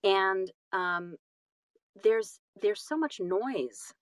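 A woman talking reflectively as an interview guest, animated and self-deprecating, often halting and repeating phrases for emphasis. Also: medium pitch, no room sound, studio quality. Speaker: guest, audiobook narrator and voice-over artist.

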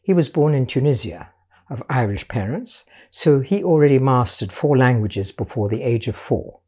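An older woman, raised in Portugal, telling her life story as an artist in a measured, patient interview manner. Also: very deep voice, lively intonation, mellow, slightly rough. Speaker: guest artist.

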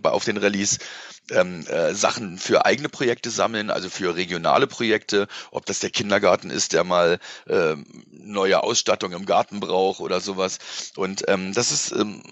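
Casting: male radio presenter as interview guest, lowish voice, loose rambling chat, dry but dull remote sound. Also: a bit bright, no echo.

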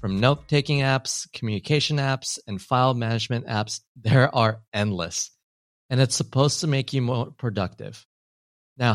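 A man's voice: medium pitch, no room sound, studio quality. A man hosting a podcast, introducing the show and putting questions to his guest. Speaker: podcast host.

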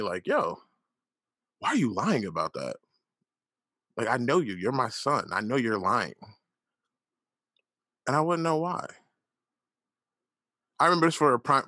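A man's voice: mid-range voice, fairly bright tone, dry recording, clean studio sound. Candid profane man in his thirties. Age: 30s. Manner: candid, profane, storytelling with lots of fillers. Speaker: podcast host. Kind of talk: casual solo monologue.

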